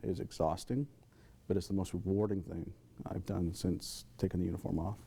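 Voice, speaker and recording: A man's voice: deep voice, steady tone, normal speed, vocal fry, warm tone, a little hiss or hum. A man who works in veterans' outreach, giving unscripted interview answers.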